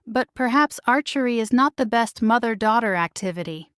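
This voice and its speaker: A woman voicing a refined, caring queen and mother in a children's storybook, proper, measured and delicately formal. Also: a little high, expressive, a bit bright, clean tone, no echo, clean studio sound. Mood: composed. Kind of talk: children's storybook character reading.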